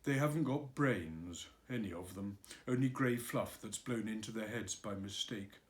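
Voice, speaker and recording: A man's voice: fairly low pitch, moderate pace, pitched below the performer's own voice, close to an everyday voice. A man voicing a gloomy, grumbling male donkey in a storybook reading, sighing and self-pitying.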